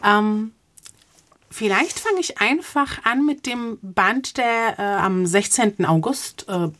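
A woman in her forties from Germany, answering interview questions conversationally as a poet, reflective and pausing to search for words. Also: mid-range voice, animated, a bit bright. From Offenbach, Germany. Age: 40s.

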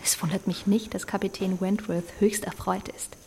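Actress as snide period gossip: whispery, mid-pitched, faint room noise, German radio drama. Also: female voice, fairly even intonation, moderate pace, vocal fry, dark tone, close to an everyday voice.

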